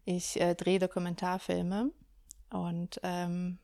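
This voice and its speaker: A woman from Ukraine, a documentary filmmaker, answering interview questions in a relaxed way with many hesitations. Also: on the low side, slow, creaky, no room sound, studio quality. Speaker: documentary filmmaker, workshop leader.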